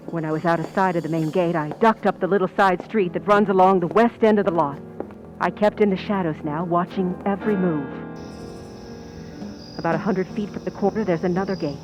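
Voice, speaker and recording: A woman playing a scheming stand-in actress confessing to murder in an old-time radio suspense narration, with tense, deliberate delivery. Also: lowish voice, natural voice, low-quality recording. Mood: tense, secretive. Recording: music under the voice.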